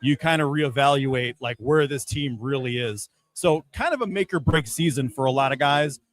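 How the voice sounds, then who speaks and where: A man giving casual, measured sports analysis as a podcast guest, hedging his points as he goes. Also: medium pitch.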